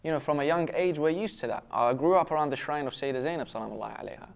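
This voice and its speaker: A man's voice: on the high side, rapid-fire, vocal fry, clear, resonant tone. A young man from Syria and the UK speaks in an earnest, self-questioning way in a casual round-table discussion. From raised in Syria until twelve, then the UK. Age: young adult. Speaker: panel discussion participant. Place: indoor restaurant-style set with brick walls, seated at table. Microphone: lapel mic.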